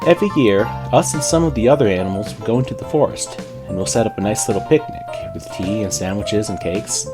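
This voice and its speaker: A man's voice: lowish voice, fairly quick, over music, natural voice. A man voicing a timid, polite young female pony in a story, hesitant and oddly nonchalant.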